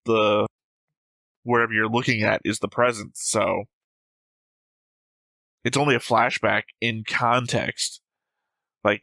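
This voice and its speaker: A man's voice: medium pitch, pushed and bright, dry recording, poor audio. A man hosting a reaction show, explaining at length in a casual, musing way.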